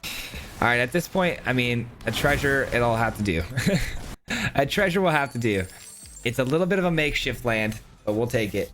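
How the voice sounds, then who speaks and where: A man giving casual, upbeat commentary while playing a card game, thinking aloud, his voice hoarse as he loses it. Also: mid-range voice, expressive, moderate pace, fairly bright tone, faint music behind. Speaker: gaming channel host. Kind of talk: casual live gameplay commentary.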